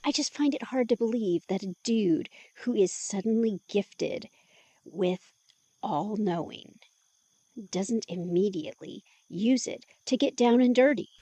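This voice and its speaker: An actress playing a curious, teasing young woman with a husky, gravelly voice in an ASMR audio drama, speaking close to the mic. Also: medium pitch, a little slow, mellow, no room sound, close to an everyday voice. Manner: teasing, playful, drawling.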